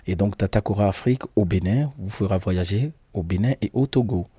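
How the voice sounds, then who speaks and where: A man's voice: fairly low pitch, fairly quick, mellow, slightly rough, dry recording. A man in his thirties from Benin with a Beninese accent, telling his own story in an interview as a guide, repeating words for emphasis. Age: 30s. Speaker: tour agency founder and nature guide.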